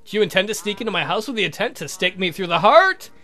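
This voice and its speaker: A man putting on a pompous, high-pitched vampire count. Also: sing-song, quick pace, pushed and bright, soft background music, heavily acted. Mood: formal, dry. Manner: formal, courtly, pompous. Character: suave, haughty aristocratic male vampire count. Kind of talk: theatrical visual novel character reading.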